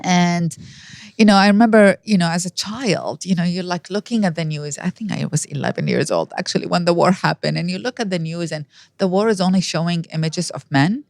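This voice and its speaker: A woman in her fifties from Iraq with an Iraqi accent, telling personal stories as an interview guest in an animated way. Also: lowish voice, a little fast, creaky, no room sound. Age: 50s. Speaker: podcast guest.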